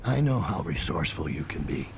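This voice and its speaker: Game casting: ailing older killer, grim and terse, gravel in the throat, widely varied intonation. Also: male voice, very deep voice, big pitch swings, fairly quick, full character voice. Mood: grim, focused. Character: weary, ailing old master assassin, grim mentor. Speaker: mentor. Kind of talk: dramatic game dialogue. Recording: clear background ambient noise.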